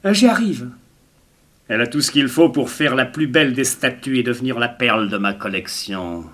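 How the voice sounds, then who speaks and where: An actor playing a smooth, gloating blackmailer and murderer in a theatrical 1960s French radio serial, mock-courteous and insistent. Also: male voice, medium pitch, animated, moderate pace, a bit bright, close to an everyday voice. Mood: smug, taunting.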